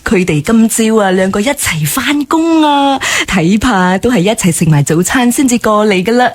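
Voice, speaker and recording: An actress playing an eager, gossipy female office worker in a radio drama, talking in an animated, insistent way. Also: on the high side, normal speed. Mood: gossipy, excited.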